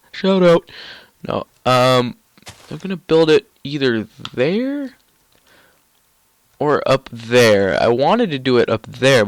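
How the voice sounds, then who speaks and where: A man's voice: lowish voice, fairly bright tone, no echo. A young man with a stuffy, nasal cold, rambling casually through gameplay commentary with lots of ums and restarts. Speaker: gaming YouTuber.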